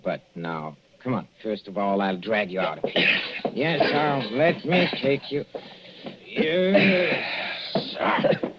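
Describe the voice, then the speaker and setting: An actor playing a gloating, murderous older professor in 1940s radio suspense style, mock-courteous and savoring every hesitation. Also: male voice, fairly low pitch, slow, pauses often, background noise. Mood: smugly menacing. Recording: muffled, dull old radio recording.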